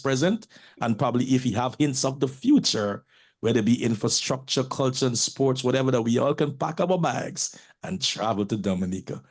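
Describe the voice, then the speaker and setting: A man from Guyana moderating a community meeting, introducing the next speakers in loose, informal phrasing. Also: medium pitch.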